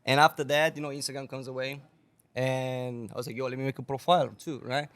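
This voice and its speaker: A man's voice: mid-range voice, fast talker, smooth. A young man from Romania, a guest bartender, telling stories on a podcast in casual, swearing, laughing speech. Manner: animated, swears a lot, laughs often.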